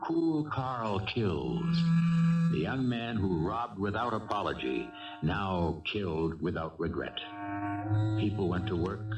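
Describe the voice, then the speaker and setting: A man hosting a 1970s radio mystery, narrating a script dramatically in a grave, measured storytelling manner. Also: sits low, distant mic. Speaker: host and narrator. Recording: muffled old radio broadcast, loud music.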